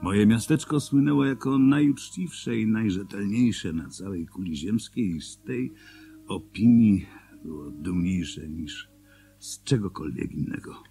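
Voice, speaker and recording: A man's voice: fairly low pitch, measured pace, gravelly, warm tone, soft background music. An actor playing a proud, self-important former mayor telling his town's story in a radio drama, with pompous delivery. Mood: proud, emphatic.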